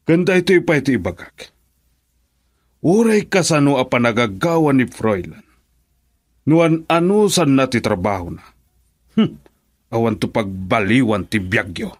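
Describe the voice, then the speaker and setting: Stern, disapproving older father for radio drama: domineering, animated, mid-pitched voice. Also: a little slow, pauses often. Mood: stern, scornful. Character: stern, disapproving father of a grown married daughter. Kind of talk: Ilocano radio drama acting.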